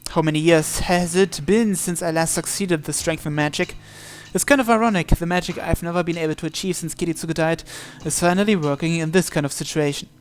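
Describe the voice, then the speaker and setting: A man reading a visual novel aloud in an expressive, dramatic first-person narration with occasional stumbles. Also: a little high, a little fast, hardly any pauses, a little hiss or hum.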